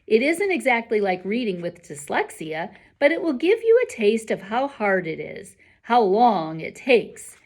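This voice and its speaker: Woman reading as an animated, encouraging male schoolteacher. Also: a little high, unhurried, hardly any pauses. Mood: encouraging, instructive. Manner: rhetorical, emphatic, instructive. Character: encouraging, earnest male schoolteacher addressing his class.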